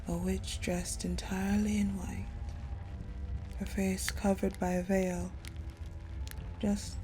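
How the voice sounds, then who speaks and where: Woman doing an icy possessive lady general, slow n mellow, hushed dark romance roleplay w music behind. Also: medium pitch, natural voice, rough recording. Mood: haunted, wistful. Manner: slow, hushed, deliberate storytelling with pauses. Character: cold, possessive ice general confiding in her captive beloved. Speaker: voice actor in audio roleplay. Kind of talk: intimate dramatic audio roleplay monologue.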